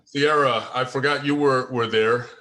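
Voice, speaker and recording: A man hosting a casual table read discussion as the writer, phrasing his thoughts in a halting, deliberate way. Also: medium pitch, fairly even intonation, pushed and bright, poor audio. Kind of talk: casual discussion, giving and asking for feedback.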